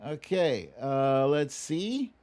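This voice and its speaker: A man's voice: a little high, very animated, extremely slow, a little mellow. A middle-aged man hosting a live stream, chatting casually and playfully as he runs a guessing game and reads out names. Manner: playful, hums and recites lyrics. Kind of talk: casual chat, running a song guessing game and reading out names.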